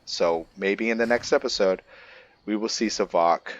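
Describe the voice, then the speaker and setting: A man doing roleplay game commentary and reading aloud as a channel host, halting and often stumbling over his words and restarting. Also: lowish voice, muffled recording, a little hiss or hum.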